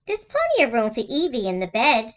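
A woman's voice: fairly high pitch, wildly swooping pitch, brisk, voice pushed up. A woman voicing a sleepy, obliging mother in a children's storybook, in a soothing manner.

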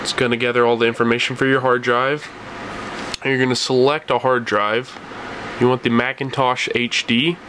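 A man with a mid-pitched voice walks through a computer tutorial in a casual, step-by-step way. He speaks as the host, addressing viewers directly. A faint steady hum sits behind his voice. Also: normal speed. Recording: noisy room with steady hum.

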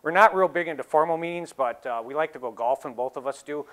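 A middle-aged dairy farmer giving a casual talk in a large exhibition hall, easygoing and full of "you know" fillers. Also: male voice, a little high, fast, fairly bright tone. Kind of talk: casual talk to a seated audience.

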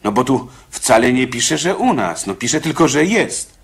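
An actor playing a condemned prisoner in a radio drama, deliberate and easily exasperated, with a husky, halting delivery. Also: male voice, mid-range voice, big pitch swings, heavily acted. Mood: doubtful. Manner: hesitant, halting, spelling things out.

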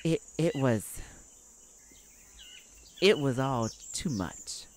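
A woman voicing a kindly, hesitant male neighbor in an ASMR roleplay, telling a story in a halting, folksy way. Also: extremely low pitch, lively intonation, a little hiss or hum, exaggerated voice. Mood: wistful, folksy. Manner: folksy, halting, sighing between thoughts.

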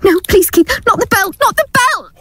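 A woman's voice: very high-pitched, lively intonation, moderate pace, noisy background. A woman playing an anxious, placating abused girlfriend in an audio drama, affectionate but fearful, with a hesitant, soothing and pleading manner. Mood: frightened pleading.

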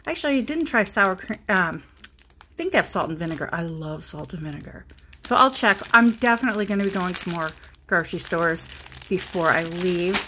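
A woman's voice: on the low side, animated, fairly quick, pushed and bright, slight background noise. A woman vlogger chatting casually and rambling as she shows her grocery haul at a table at home, recorded on a handheld phone. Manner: chatty, rambling, self-deprecating asides. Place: home kitchen table, indoors.

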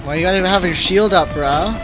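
A woman's voice: lowish voice, brisk, rough recording. A woman giving casual, slangy, joking and grumbling commentary while playing a video game. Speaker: gamer, streamer. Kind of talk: casual reactive gameplay commentary. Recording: loud game music behind. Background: video game music and combat.

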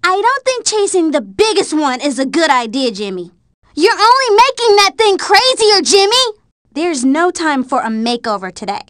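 A woman voicing a bossy, sassy young schoolgirl in an animated video game, with a nagging, exclamatory delivery. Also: extremely high pitch, normal speed, dry recording, studio quality. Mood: exasperated, urgent.

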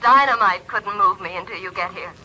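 A woman's voice: medium pitch, a little fast. A woman acting a shaken, wry young woman on a filtered telephone line in a 1950s radio drama. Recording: muffled, faintly noisy old radio recording.

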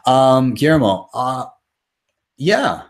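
A man's voice: medium pitch, big pitch swings, slow. A man in his thirties hosting a casual live question-and-answer stream from a small room at home. He talks loosely and swears casually, reading out viewer comments and answering them off the cuff. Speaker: crypto channel host.

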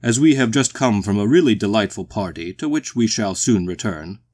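Man putting on a booming, pompous walrus gentleman. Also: expressive, normal speed. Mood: genial, formal. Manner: pompous, polite, hesitant, old-fashioned British phrasing. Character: big, genteel, embarrassed, slightly tipsy walrus-like gentleman. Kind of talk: acted storybook dialogue.

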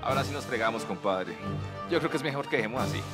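A man playing an excitable, easily defeated Colombian detective in a comic radio drama. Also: medium pitch, quick pace, lightly acted. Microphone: studio broadcast mic. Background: music.

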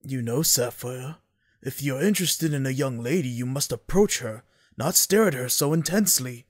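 A man's voice: medium pitch, normal speed, dry recording, clean studio sound, close to an everyday voice. A man voicing a kindly, earnest noble uncle in an anime audio drama. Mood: earnest, fatherly.

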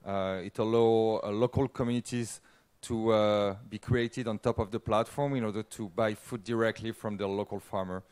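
A man in his thirties, a native French speaker, speaks in a somewhat low, resonant voice. He explains in steady, factual English, and the recording is dry and close. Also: moderate pace, clean tone, no echo. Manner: steady, factual, few fillers. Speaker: panelist.

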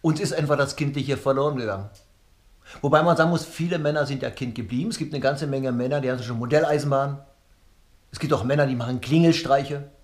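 A man's voice: medium pitch, fast talker. A middle-aged man speaking to camera in a jokey, conversational comedy monologue, recorded in an echoey room at home. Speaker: comedian and cabaret artist. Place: small rooms of a flat, indoors.

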